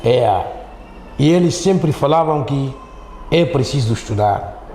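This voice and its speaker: A man's voice: mid-range voice, lively intonation, measured pace, dark tone. A middle-aged man with a Mozambican accent, recounting things conversationally as an interview guest, repeating himself and often ending on tag questions. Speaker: interview guest, Islamic teacher. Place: echoey studio. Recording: roomy echo, faint background noise.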